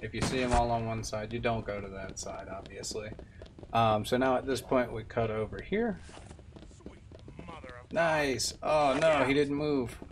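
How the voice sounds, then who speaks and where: A man's voice: mid-range voice, unhurried, noisy background. A young man, a game streamer, giving casual speedrun commentary. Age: young adult. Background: game music.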